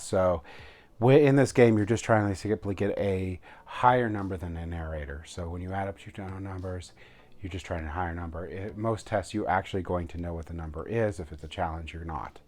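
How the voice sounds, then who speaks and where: A man giving a casual, unscripted game review walkthrough, halting and often correcting himself mid-sentence. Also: low voice.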